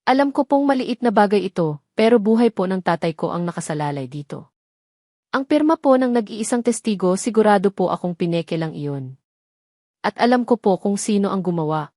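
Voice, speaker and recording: A woman's voice: mid-range voice, animated, fairly quick, warm tone, dry recording, clean studio sound, close to an everyday voice. A woman voicing a desperate but determined young woman in an audio drama, pleading politely and earnestly.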